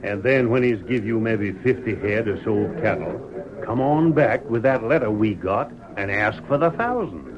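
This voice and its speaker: An actor playing a sly, boastful old cowboy with a rustic drawl in an old radio western. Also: male voice, fairly low pitch, moderate pace, a crowd in the background, close to an everyday voice. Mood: sly scheming. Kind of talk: 1940s radio western acting. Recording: dull vintage radio recording.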